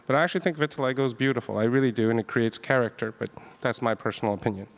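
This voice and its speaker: A man's voice: mid-range voice, very fast. A man moderating a panel, asking questions hesitantly with many fillers and restarts. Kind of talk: panel discussion.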